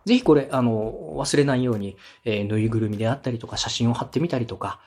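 A man's voice: medium pitch, fast, a little mellow, slightly rough. A man hosting a podcast, giving advice in a polite, encouraging, conversational way. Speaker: podcast host, radio director giving advice. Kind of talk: conversational coaching, polite explanation.

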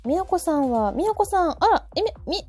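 Mellow, animated woman hosting. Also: extremely high pitch, a little fast. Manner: attentive, frequent backchannels. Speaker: host of a live audio talk show. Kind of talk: casual chat. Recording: background music under the voice.

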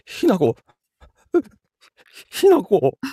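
Man acting a husky, frightened cheating lover. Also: high-pitched, huge pitch swings, warm tone, no echo, studio quality, exaggerated voice. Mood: terrified. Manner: halting, faltering short utterances. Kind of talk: Japanese voice drama acting.

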